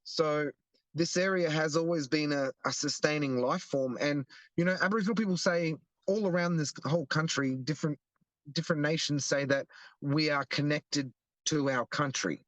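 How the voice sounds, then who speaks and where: A man's voice: fairly high pitch, normal speed, fairly bright tone, no room sound, rough recording. A young Australian man explaining earnestly in a casual interview, often restarting his sentences. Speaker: guest, activist.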